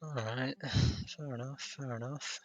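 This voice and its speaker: A man's voice: mid-range voice, fairly even intonation, unhurried, fairly bright tone. A man livestreaming a video game, muttering brief, casual reactions to himself. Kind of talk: casual gameplay commentary.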